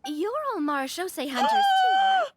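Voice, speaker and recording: A woman's voice: very high voice, wildly swooping pitch, moderate pace, heavily acted. A woman voicing a friendly, upbeat young monster hunter and businesswoman in a video game. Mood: friendly, confident. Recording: music behind the voice.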